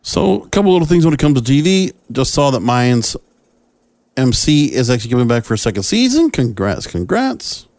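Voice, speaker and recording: A man's voice: medium pitch, big pitch swings, a little fast, a little mellow, dry recording. A man hosting a solo podcast, talking casually about entertainment in a rambling, opinionated, conversational way. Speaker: podcast host.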